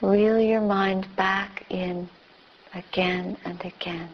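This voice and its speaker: A woman teacher leading a guided meditation with gentle instructions in short, spaced phrases. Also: mid-range voice, little pitch movement, takes it slowly. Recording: dull, lo-fi recording.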